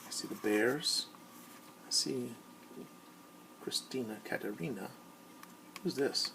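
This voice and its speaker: Man, medium pitch, teacher, expressive storybook read-aloud, questioning asides to children, faint background noise. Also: slow, gravelly. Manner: expressive, questioning, addresses listeners directly.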